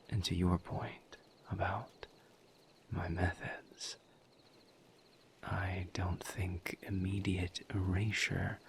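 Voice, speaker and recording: A man playing a calm, gentle, formal misery demon in an intimate roleplay audio, with a hushed, breathy voice and measured, deliberate phrasing. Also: low-pitched, slight background noise, full character voice. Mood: earnest, soothing. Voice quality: hushed, breathy near-whisper. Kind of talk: soft-spoken roleplay audio, intimate monologue to listener.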